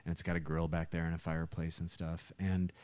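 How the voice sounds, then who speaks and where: Male podcast host, low register, dry close sound, casual and talkative. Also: completely flat delivery, fairly quick, a little mellow, no room sound.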